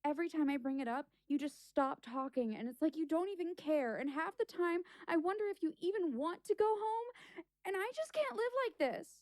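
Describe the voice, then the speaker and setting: A woman's voice: very high voice, moderate pace, a little mellow, no room sound. A woman playing a talkative stage magician in a naturalistic audio drama, speaking earnestly and with emphasis. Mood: hurt, accusing.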